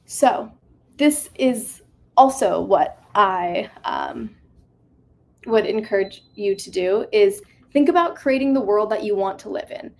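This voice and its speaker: A woman's voice: medium pitch, takes it slowly, vocal fry, a little hiss or hum. A woman in her twenties giving an earnest, reflective talk with slides on a video call, with many fillers. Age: 20s. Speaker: conference speaker. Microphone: video call mic.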